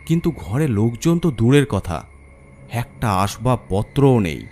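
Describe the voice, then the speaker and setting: Male horror narrator, medium pitch, theatrical suspense delivery, clear music bed underneath. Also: sing-song, measured pace, a little mellow. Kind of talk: dramatised first-person horror story narration.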